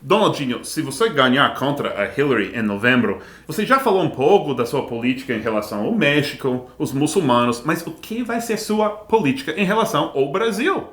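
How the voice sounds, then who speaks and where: A man's voice: fairly high pitch, big pitch swings, brisk, hardly any pauses, a bit bright. A man with an American accent, a native English speaker, hosting a comedy talk show with mock-enthusiastic surprise.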